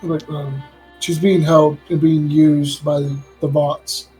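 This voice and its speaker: Husky, higher-voiced man at a game table. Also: flat delivery, slow, mellow, faint music behind, low-quality recording. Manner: unsure, asks lots of questions. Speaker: player.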